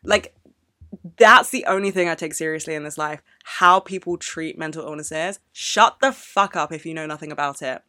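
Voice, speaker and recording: A woman's voice: deep voice, moderate pace, sharp tone, dry recording. Brief: young woman, solo podcast host, unscripted, emotional and foul-mouthed, close dry mic. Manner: candid, emotional, swears freely. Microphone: podcast microphone.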